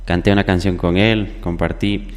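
Low-voiced young man, echoey. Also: flat delivery, moderate pace. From southern Ecuador. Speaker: musician guest, popular music singer. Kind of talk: conversational interview storytelling. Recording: echoey hall-like reverb.

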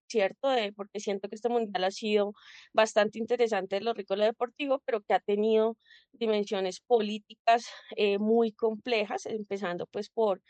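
Woman thinking out loud in an interview, lots of restarts, dry close sound. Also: mid-range voice, no echo, muffled recording. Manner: reflective, many restarts and "¿cierto?" tags. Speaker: guest, public administrator and social activist. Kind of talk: interview answer, analytical.

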